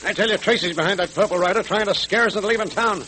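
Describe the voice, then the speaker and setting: An actor in a vintage radio serial playing a blustering, scheming gang boss with tough, emphatic gangster talk. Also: male voice, high-pitched, very fast, bright, forceful tone. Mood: defiant anger. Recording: crackly old radio recording.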